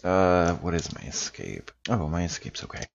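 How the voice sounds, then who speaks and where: A man's voice: deep voice, sing-song, normal speed, vocal fry, very noisy. A man talking casually as a tabletop game player, tentative and thinking aloud. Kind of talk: casual in-character game chat. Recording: dull, lo-fi microphone.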